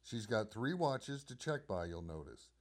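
A man's voice: fairly low pitch, sing-song, a little slow. A man playing an obliging telephone company supervisor in a radio drama, explaining things courteously. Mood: informative, businesslike. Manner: courteous, explanatory, crisp phrasing.

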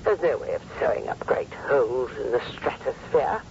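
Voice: medium pitch, expressive, normal speed, creaky, darkish tone. A performer playing a reserved British dame, a senior scientist, in an old-time radio drama. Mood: resigned. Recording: dull, noisy old radio recording.

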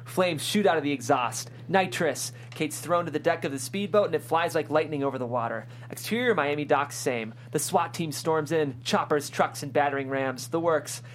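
A woman reading screenplay stage directions as lively action narration, punching out the dramatic effect words. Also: sits low, moderate pace, few pauses, a little hiss or hum.

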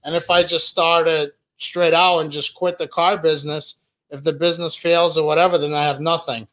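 Man, 30s, from Russia, slightly high voice, easygoing, laughs often, podcast guest. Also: moderate pace. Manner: laughs easily, relaxed storytelling. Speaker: business owner guest. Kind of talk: casual interview chat.

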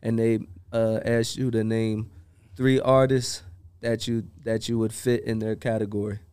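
A man's voice: on the low side, little pitch movement, measured pace, darkish tone, clean tone. A man co-hosting an interview in casual, slangy talk, often repeating and rephrasing his points. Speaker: guest co-host interviewer.

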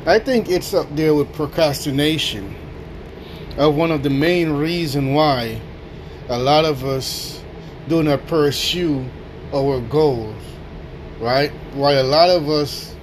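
A man with a notably high voice speaks slowly and deliberately into a podcast mic, delivering a motivational talk. He hammers his points home with repetition and tags many lines with a questioning "right?". A faint hum sits under the voice. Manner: emphatic, repeats key phrases, keeps asking "right?". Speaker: podcast host.

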